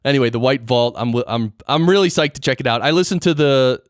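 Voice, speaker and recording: A man's voice: mid-range voice, brisk, dry recording, clean studio sound. A man hosting a podcast in casual interview chat, playful and slangy, given to exclamations. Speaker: podcast host.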